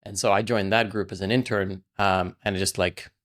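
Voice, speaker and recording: A man's voice: on the low side, steady tone, normal speed, a bit bright. A man in his forties from Russia, a game programmer chatting casually as a podcast guest and rambling with a constant stream of "like". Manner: rambling, fills speech with frequent "like". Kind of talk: casual interview chat.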